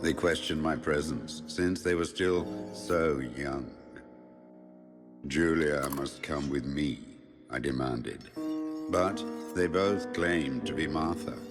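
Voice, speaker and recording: A man playing Death as a grave, ancient spirit, solemnly and deliberately narrating a dark fable for a game. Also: a bass voice, exaggerated voice. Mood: grave, ominous. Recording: long cavernous reverb, music behind.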